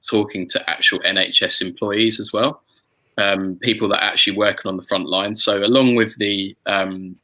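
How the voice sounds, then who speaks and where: A man with a fairly low but bright, forward voice. He talks casually as a musician in a group chat, feeling his way through sentences with repeated words and hesitations. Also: steady tone, normal speed, rough recording. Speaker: musician and song arranger hosting a group chat. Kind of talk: casual conversational chat about music-making.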